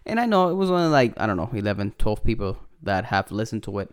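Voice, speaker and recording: Rambling Puerto Rican man, smooth voice. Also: fairly low pitch, very animated, fast. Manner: rambling, profane, with many fillers. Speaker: podcast host. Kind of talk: casual podcast intro.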